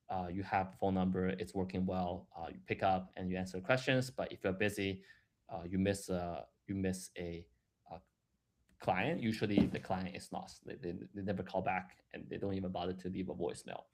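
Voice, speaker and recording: A man giving a live, explanatory software demo in a halting way, repeating words and restarting as he goes. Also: low-pitched. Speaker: software product presenter.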